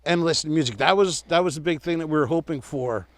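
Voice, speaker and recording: A man's voice: fairly high pitch, fast, no room sound. A man chatting casually with a riding partner outdoors on a trail ride.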